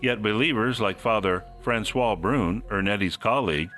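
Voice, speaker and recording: A man's voice: on the low side, normal speed, fairly bright tone, faint music behind. A man hosting a podcast, reading scripted mystery narration in a suspenseful, theatrical manner. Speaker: podcast host.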